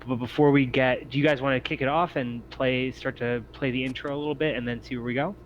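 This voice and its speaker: A man's voice: medium pitch, fairly even intonation, fast. Man running a tabletop game, muffled noisy audio. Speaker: game master. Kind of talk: casual explanation and scene narration for a tabletop game. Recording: muffled lo-fi voice chat, clear noise.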